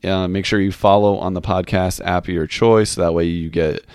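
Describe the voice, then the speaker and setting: Low-voiced man hosting a casual podcast. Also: steady tone, moderate pace, a little mellow, smooth, no room sound, clean studio sound. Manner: rambling, frequent "like" fillers, candid cursing. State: recovering from voice loss. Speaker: podcast host. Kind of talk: casual solo game review podcast.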